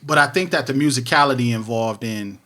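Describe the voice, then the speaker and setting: A man's voice: medium pitch, fairly quick, sharp tone. A man hosting a podcast, from Detroit, talking shop about music production in a casual, slangy, analytical way. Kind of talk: casual conversational interview.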